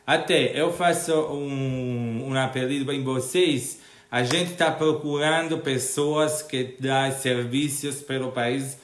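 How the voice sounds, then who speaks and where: Italian man speaking Portuguese, echoey room. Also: medium pitch. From Italy. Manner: hesitant, restarts often. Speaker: interviewer and channel host. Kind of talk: casual online interview.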